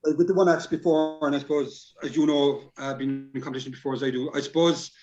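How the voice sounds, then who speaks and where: A man's voice: fairly high pitch, fairly even intonation, quick pace, music in the background. An Irish man talking informally, rambling and tentative as he works through an answer. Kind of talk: informal discussion. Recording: dull, lo-fi sound.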